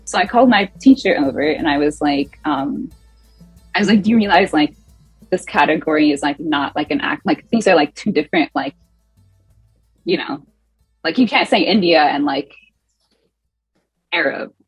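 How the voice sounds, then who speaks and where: A woman's voice: low voice, moderate pace, long pauses, slightly rough, no echo, faint music behind, low-quality recording. A young woman telling a personal story as a panelist, with heavy use of "like.". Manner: chatty, filler-heavy storytelling with "like". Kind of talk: online panel discussion.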